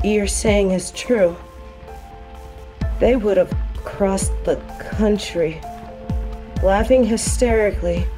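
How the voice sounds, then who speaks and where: Actress as quick-witted female reporter, slightly low voice, sarcastic, quippy, audio drama, soft music behind. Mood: incredulous. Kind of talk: scripted audio roleplay dialogue.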